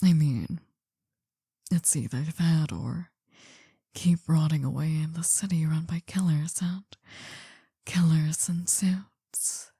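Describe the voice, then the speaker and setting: Breathy, whispering female mafia boss, acted by a woman. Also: low voice, mellow, dry recording, clean studio sound, exaggerated voice. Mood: adoring, wistful. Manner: slow, intimate, confiding. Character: possessive, lovesick older female mafia boss, world-weary, tender. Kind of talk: ASMR roleplay, intimate whispered monologue.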